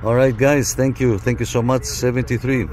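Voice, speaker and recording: A man's voice: mid-range voice, little pitch movement, normal speed, a little mellow. A man talking casually as a CB radio operator, recorded inside a vehicle. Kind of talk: casual radio chat to camera. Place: inside a parked minivan outdoors. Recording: faint engine and car noise. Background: faint vehicle and outdoor noise.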